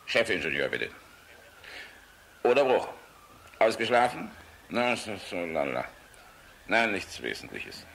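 Weary, blunt general for radio play. Low-pitched, deliberate delivery, faintly noisy recording. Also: male voice, big pitch swings, creaky, fairly bright tone, heavily acted. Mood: brisk. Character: weary, plain-spoken German air force general, courteous but blunt.

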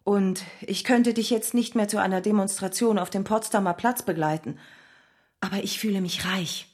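A woman's voice: medium pitch, steady tone, moderate pace, natural voice. An actress playing a tender, wry woman revolutionary in a German radio drama, speaking lyrically and intimately. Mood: tender, confiding. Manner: intimate, lyrical, often sinking to a hush. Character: visionary woman revolutionary, tender, wry, weary yet rapturous.

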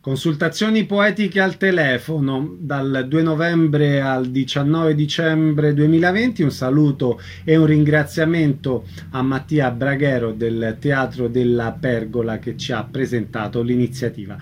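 A man's voice: mid-range voice, unhurried, few pauses, a little hiss or hum. A man hosting a radio interview programme, introducing guests and asking them questions.

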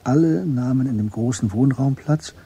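A man narrating a literary radio drama from a script in a measured, understated way. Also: medium pitch, warm tone, breathy edge.

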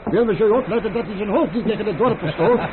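An actor playing a servile, anxious country innkeeper in a radio play, effusive and wordy in manner. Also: male voice, sits high, fast, natural voice. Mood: eager, fawning. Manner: effusive, obsequious, nervous. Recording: muffled, noisy old radio recording.

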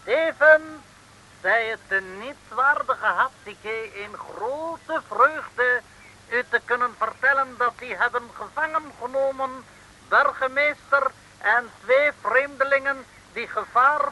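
An actor playing a pompous, scheming island ruler as a broad comic caricature, speaking ceremonious pidgin in an old radio serial. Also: male voice, extremely high pitch, slow, bright, forceful tone, exaggerated voice, rough recording. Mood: pompous, proclaiming. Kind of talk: 1950s Dutch comic radio serial acting.

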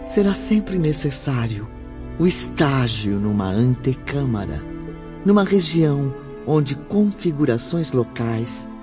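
A man narrates in a grave, measured voice, taking his time over each phrase. Faint music plays behind him on a dull recording. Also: medium pitch, darkish tone. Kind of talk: scripted narration.

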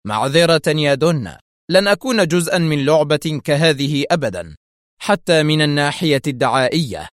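A man's voice: mid-range voice, lively intonation, normal speed, no echo, clean studio sound, natural voice. A man playing a stern, principled male intelligence officer in audiobook dialogue, speaking firmly and with emphasis. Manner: firm, strict, emphatic.

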